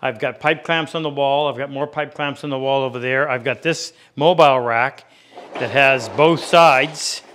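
Middle-aged man teaching woodworking, mid-pitched voice. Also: steady tone, normal speed, a bit bright. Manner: plain-spoken, practical advice-giving. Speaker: woodworking instructor and host. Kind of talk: hands-on instructional demonstration, unscripted explanation. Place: indoor woodworking workshop.

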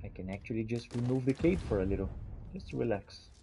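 A man's voice: medium pitch, expressive, quick pace, creaky, warm tone, a little hiss or hum. A man giving theatrical, in-character commentary as he plays a video game. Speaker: gamer roleplaying during gameplay. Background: video game ambience.

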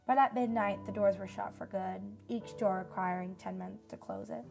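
A woman's voice: mid-range voice, fairly even intonation, moderate pace, gravelly, loud music behind the voice, close to an everyday voice. A young woman playing a solemn nineteen-year-old disaster survivor giving testimony in a radio drama. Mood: somber, reflective. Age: young adult.

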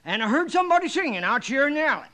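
High-pitched folksy western stable keeper. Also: male voice, big pitch swings, very fast, sharp tone, heavily acted. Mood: excited, spooked. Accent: American Western. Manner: rambling, hesitant, chuckling folksy storyteller. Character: folksy, garrulous, excitable livery stable owner in old West. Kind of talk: old-time radio western acting.

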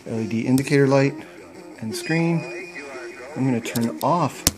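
A man's voice: mid-range voice, a little slow, music in the background. A man casually explaining his home-built gear in a plain, matter-of-fact way, recorded indoors at home. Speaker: paraglider showing his gear. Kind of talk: casual product demo.

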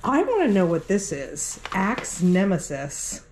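A woman's voice: medium pitch, wildly swooping pitch, measured pace, a little mellow. A woman, a vlog host, chatting casually and unscripted as she sorts through things. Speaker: host, vintage reseller. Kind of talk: casual unscripted chat while sorting items. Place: cluttered room indoors at home. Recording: noisy room with handling clicks.

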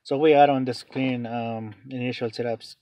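A man explaining a phone reset step by step off camera, halting with many fillers, in non-native English phrasing. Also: mid-range voice, fairly even intonation, warm tone, no room sound. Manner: hesitant, many fillers and restarts. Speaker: tech tutorial channel host. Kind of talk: off-camera phone tutorial voice-over.

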